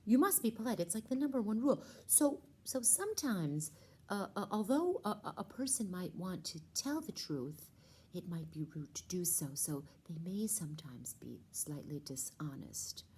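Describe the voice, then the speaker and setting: A woman's voice: lowish voice, very animated, normal speed, a little mellow, slightly rough. A woman telling a children's story as a puppeteer, informal and conversational, with asides to the viewer.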